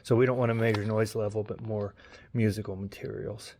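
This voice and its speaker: A man's voice: lowish voice, little pitch movement, fairly quick, darkish tone, a little hiss or hum. A man hosting a casual hands-on tutorial, explaining informally and thinking aloud, often correcting himself as he goes. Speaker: YouTube host demonstrating vintage audio testing.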